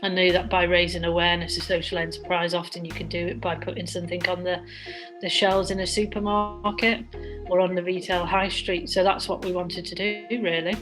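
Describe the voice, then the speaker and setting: Woman entrepreneur from Wales, interviewee, low steady voice, casual rambling answers over background music. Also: brisk, low-quality recording. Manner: conversational, frequent restarts and fillers like "sort of" and "really". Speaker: social enterprise co-founder. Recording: music playing under the voice.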